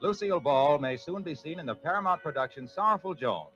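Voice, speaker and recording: A man's voice: on the high side, lively intonation, a little fast, music in the background. A man announcing on 1940s radio with a polished, emphatic sponsor's delivery. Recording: dull vintage 1940s radio broadcast.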